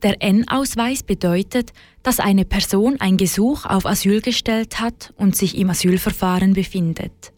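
Reporter, woman, even scripted delivery, dry close mic. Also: mid-range voice, measured pace, no room sound. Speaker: radio reporter. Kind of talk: scripted news narration.

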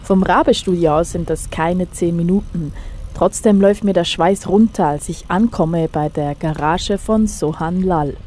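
A woman narrates a radio reportage in a calm, even, scripted read. Her voice sits low and mellow, with faint vehicle noise in the background. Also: unhurried.

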